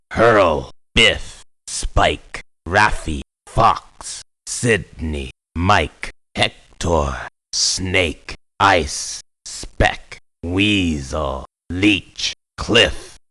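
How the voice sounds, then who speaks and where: An actor voicing a tough, short-tempered ex-convict mercenary in a video game, with a blunt, streetwise, surly way of talking. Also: male voice, sits low, pushed and bright, exaggerated voice. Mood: flat, calling out. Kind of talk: video game voice acting, short barked one-liners.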